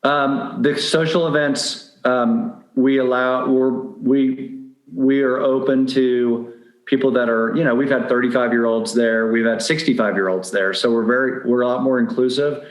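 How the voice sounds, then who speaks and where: A man's voice: mid-range voice, steady tone, unhurried. A middle-aged man speaking as an interview guest, explaining things in a casual, conversational way. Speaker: podcast guest, dating advice blogger. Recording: roomy, echoing sound.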